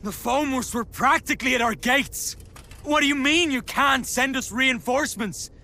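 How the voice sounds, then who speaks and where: A man's voice: very high-pitched, moderate pace, sharp tone, heavily acted. A man playing a hot-tempered, defiant mercenary vice captain in a video game, accusatory and emphatic. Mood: indignant, angry. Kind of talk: video game cutscene acting.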